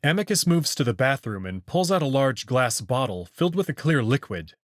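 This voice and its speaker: A man's voice: medium pitch, very animated, moderate pace, no room sound, studio quality. A man narrating a visual novel as the series host, reading scripted storytelling prose.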